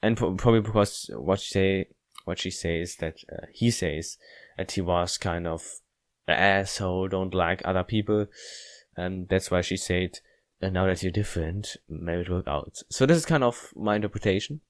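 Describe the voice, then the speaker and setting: A man with gives casual commentary as a let's play host. His voice is low and he speaks haltingly, often searching for words. The recording is very dry. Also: dry recording.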